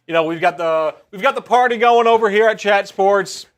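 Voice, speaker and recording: A man co-hosting a live sports stream, cheerfully reading out viewer comments and shout-outs. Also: high voice, fairly quick. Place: sports media studio.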